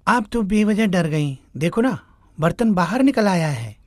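Earnest young son. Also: female voice, medium pitch, lively intonation, measured pace, no echo, clean studio sound. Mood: reassuring. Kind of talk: Hindi animated moral story acting.

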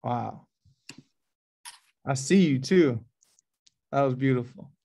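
Slow-talking man hosting casually. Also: mid-range voice, expressive, vocal fry, warm tone. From New Orleans, USA. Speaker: host and curator of poetry reading. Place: small office with cinderblock walls, indoors. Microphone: video call laptop microphone.

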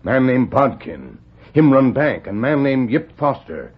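A husky, stoic scout from an old radio western. He talks in clipped broken English with short grunts, loyal and plain, on a dull vintage recording. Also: medium pitch, big pitch swings, unhurried. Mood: matter-of-fact.

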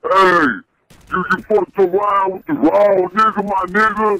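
A man's voice: sits high, flat delivery, a little slow, bright, forceful tone, dry recording, low-quality recording. A man making prank calls with brash, taunting, profane street-slang trash talk. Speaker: prank caller.

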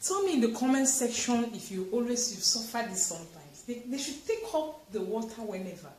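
A woman's voice: on the high side, very animated, a little fast, a little mellow. A woman in her thirties with a Cameroonian accent, chatting casually and expressively to camera as a home vlogger. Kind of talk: casual chat to camera while doing housework. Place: tiled home kitchen, indoors. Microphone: camera or phone at arm's length and further. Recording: echoey tiled room with clear noise.

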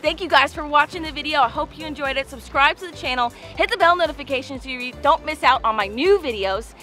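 Young woman with a high bright voice, upbeat fishing host, outdoors on a boat, music under her. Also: animated, fast. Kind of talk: excited fishing vlog chat. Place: open boat at sea, then a marina dock.

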